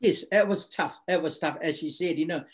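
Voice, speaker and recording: A man's voice: a little high, a little fast, heavy background noise. A middle-aged man from Samoa, whose first language is not English, speaking reflectively in an interview and repeating phrases for emphasis. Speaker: guest.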